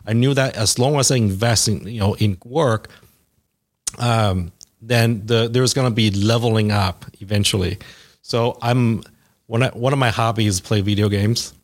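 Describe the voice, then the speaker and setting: Halting man in his forties. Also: on the low side, fairly even intonation. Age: 40s. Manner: halting, many fillers and restarts. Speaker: interviewee. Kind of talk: casual conversational interview.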